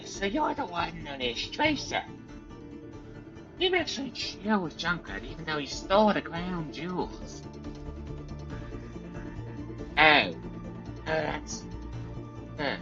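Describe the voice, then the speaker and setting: Scrappy, loyal game hero, voiced by a man, animated delivery, dull recording over game music. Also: fairly high pitch, a little slow, vocal fry, long pauses, voice pushed up, heavily acted. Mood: teasing. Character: scrappy, talkative fan-made Overwatch hero, loyal friend. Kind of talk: rehearsing video game character voice lines. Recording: muffled, with loud game audio behind.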